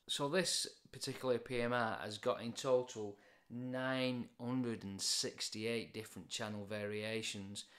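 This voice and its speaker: A man in his forties with a British accent talks through a product demonstration. His voice is mid-pitched. He explains each point informally and matter-of-factly, like a hobby reviewer. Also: a bit bright, a little hiss or hum. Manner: plain, matter-of-fact explaining.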